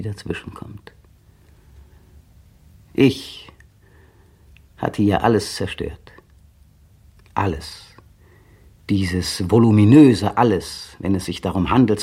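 A man's voice: medium pitch, a little mellow, slightly rough, full character voice. An actor playing a bitter, cold man speaking a precise, brooding inner monologue in a 1960s radio play. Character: bitter, cold adult man resenting his partner. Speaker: male protagonist narrating inner monologue.

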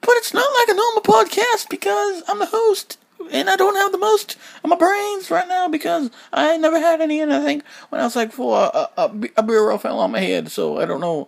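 Husky, rambling sleep-deprived podcast host. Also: male voice, extremely high pitch. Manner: rambling, repeats himself, goes off on tangents, swears. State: sleep-deprived, up almost 24 hours. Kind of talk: rambling solo podcast monologue.

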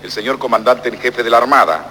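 A man's voice: mid-range voice, lively intonation, quick pace, very noisy. A man making formal, ceremonial announcements in an archive broadcast.

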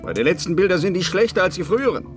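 Here is an old, drunken painter in a radio play, wounded and self-pitying. His delivery is declamatory and volatile, swinging widely in pitch over a music bed. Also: very animated, quick pace. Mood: bitter, self-pitying. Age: older. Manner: theatrical, declamatory, emotionally volatile. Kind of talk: German radio drama acting.

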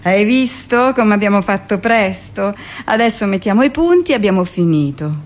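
Actress as coaxing, patronizing midwife, medium pitch. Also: female voice, lively intonation, moderate pace, lightly acted. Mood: coaxing, brisk. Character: brisk, patronizing midwife soothing and instructing a patient. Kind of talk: acted quote in a theatrical monologue.

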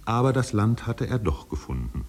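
An actor playing a solemn literary storyteller, narrating a 1950s German radio drama in a measured, dramatic manner. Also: male voice, big pitch swings, a little mellow, faint music behind. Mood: measured, wistful. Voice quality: deep, husky.

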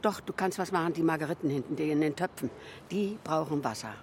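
An actress playing a fond, chatty elderly widow who rambles through memories in a naturalistic radio drama. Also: female voice, low voice, quick pace, darkish tone, a little hiss or hum. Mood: playful, fussy. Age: older. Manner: rambling, reminiscing, with colloquial tag questions. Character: chatty, fond old Berlin widow, former flower seller.

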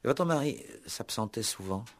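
An actor playing a gruff, impatient police commissioner who questions tersely with measured pauses, in a sixties radio play. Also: male voice, mid-range voice, expressive. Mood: formal, tactful. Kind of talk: 1960s French-language radio play acting.

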